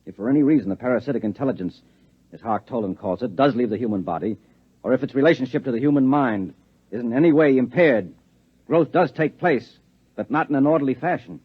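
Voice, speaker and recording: A hard, controlling husband in an old radio play, played by a man, with a husky mid-range voice. He is clipped and insistent, on a dull 1950s recording. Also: medium pitch, quick pace, lightly acted. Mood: grim, persuasive. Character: hard, controlling government husband with grim secrets. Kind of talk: 1950s radio drama acting.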